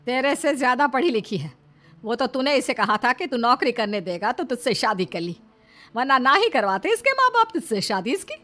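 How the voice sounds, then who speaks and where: Woman doing kind tired older mom in law, earnest motherly talk, highish, cartoon story w music. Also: big pitch swings, moderate pace, sharp tone. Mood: firm, defending. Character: kind, long-suffering older mother-in-law, protective and weary.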